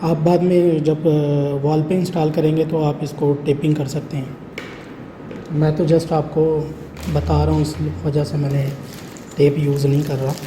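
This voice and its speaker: A man's voice: a little high, little pitch movement, a little slow. A man giving a casual hands-on how-to demonstration, explaining step by step with frequent check-in phrases. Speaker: tutorial host showing an intercom installation. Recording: clearly noisy room recording.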